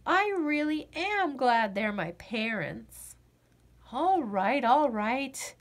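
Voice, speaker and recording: Lady doing a nervous little frog kid for a storybook, super expressive, slow and confiding. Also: fairly high pitch. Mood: reluctant, nervous. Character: anxious little boy frog, confiding and earnest. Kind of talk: children's picture-book read-aloud.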